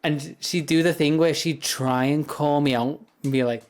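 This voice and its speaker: A man chats in a loose, rambling way on a livestream. His voice is deep and grumbly, and he keeps it low instead of projecting, so a close, high-gain mic picks up every soft word. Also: steady tone, moderate pace. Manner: rambling, chatty, soft-spoken without projecting. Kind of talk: casual livestream chat. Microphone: high-gain streaming mic up close.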